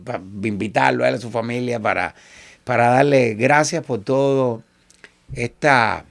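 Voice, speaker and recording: A man from Cuba with a Cuban Spanish accent, a salsa singer talking as an interview guest and telling animated stories, often quoting other people. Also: medium pitch, a little slow, gravelly. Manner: animated, quotes others in lively asides. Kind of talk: casual interview storytelling.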